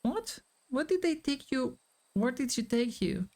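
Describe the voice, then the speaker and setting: A woman's voice: high voice, very animated, measured pace, mellow, voice pushed up, heavily acted. A wary young boy from a video game, read in character by a woman. His questions come in a raised, suspicious voice, over faint music. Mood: shocked. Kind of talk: reading game dialogue aloud in character.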